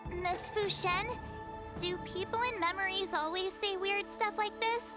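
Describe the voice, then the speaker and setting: A woman voicing a perky, expressive teenage girl adventurer in a video game, bubbly and exclamatory. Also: extremely high pitch, unhurried. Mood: puzzled. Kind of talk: animated game voice acting. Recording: loud game music behind.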